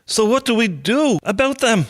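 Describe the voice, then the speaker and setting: A man plays a practical farmer with doubts in a historical drama. His voice sits high and swings up and down. He challenges what he hears. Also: animated, normal speed. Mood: sceptical. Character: sceptical male farmer with eight acres planted.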